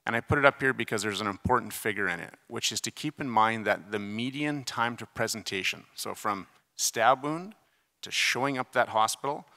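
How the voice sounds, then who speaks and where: A middle-aged man delivers a conference lecture through a podium mic in a reverberant hall. His voice is fairly low and forceful, with creak in it. He explains in a conversational, emphatic way, dropping in informal asides. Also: normal speed. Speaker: surgeon giving conference debate presentation. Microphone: podium microphone.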